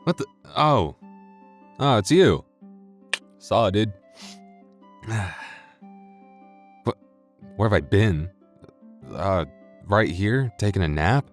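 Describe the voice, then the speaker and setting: A man's voice: lowish voice, very animated, slow, long pauses, soft background music, pitched above the performer's own voice. A man playing a sleepy alien computer who talks casually and apologetically to listeners, with hesitant delivery. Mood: sheepish, apologetic. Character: sleepy, sheepish alien computer persona of the channel. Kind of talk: casual in-character address to listeners.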